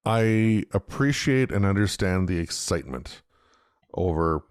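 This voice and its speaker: A man co-hosting a podcast, chatting casually with halting, repeated starts. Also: deep voice, lively intonation, clean tone, no room sound, clean studio sound. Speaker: podcast co-host. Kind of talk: casual panel chat.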